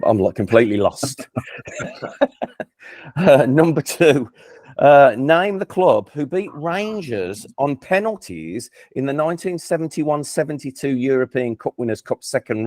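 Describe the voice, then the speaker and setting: Man, Birmingham accent, quiz host, chatty, stumbling restarts, mid-pitched voice. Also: darkish tone, no room sound, clean studio sound. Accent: Birmingham English. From England. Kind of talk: casual online quiz hosting.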